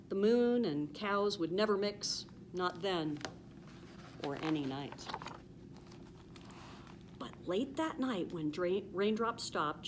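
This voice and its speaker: An American woman reading a children's storybook aloud with expressive, emphatic, sing-song rhyming delivery. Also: lowish voice, background noise.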